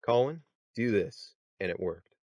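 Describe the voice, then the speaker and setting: A man hosting a voice acting podcast, talking in a relaxed, chatty way and laughing often. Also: lowish voice, unhurried, no echo. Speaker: podcast host. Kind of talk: casual podcast chat.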